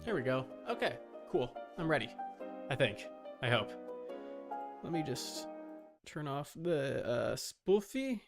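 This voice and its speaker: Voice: medium pitch, big pitch swings, slow, over music. A livestreamer chatting casually and muttering to themselves in a scattered, self-interrupting way.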